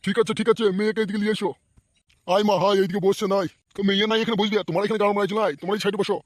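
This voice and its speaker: Man voicing a high-pitched, rapid-fire bossy cartoon elder. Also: steady tone, no room sound, studio quality. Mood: bossy, mocking. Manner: scolding, blustering, swearing. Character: gruff, bossy, foul-mouthed male elder in a comedy cartoon. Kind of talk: slapstick comedy cartoon dialogue.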